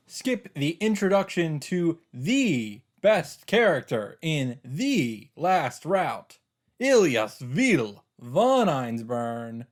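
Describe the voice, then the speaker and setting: A man narrating a visual novel aloud and adding casual commentary as a let's-play host, with game music behind him. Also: mid-range voice. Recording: background music.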